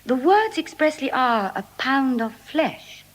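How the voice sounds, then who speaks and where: Classical court drama: composed shrewd young advocate, formal, unhurried, lively rise and fall. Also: female voice, high-pitched, full character voice. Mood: commanding. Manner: measured, deliberate, formal. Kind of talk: classical Shakespearean stage acting.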